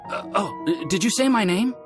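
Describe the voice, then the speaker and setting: A man's voice: high voice, lively intonation, normal speed. A flustered young alchemist's assistant from a video game. His intonation moves up and down a lot, and he sounds hesitant and apologetic over faint music. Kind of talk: video game character acting.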